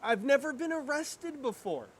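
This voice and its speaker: Man playing an anxious, high-voiced, exasperated twin brother. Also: expressive, moderate pace. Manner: indignant, sarcastic. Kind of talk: amateur dramatic script reading.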